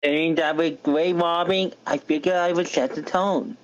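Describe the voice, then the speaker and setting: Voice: mid-range voice, fairly even intonation, unhurried, a little hiss or hum, lightly acted. A performer reading an obnoxious, teasing young male content creator in an amateur table read, with muffled online audio.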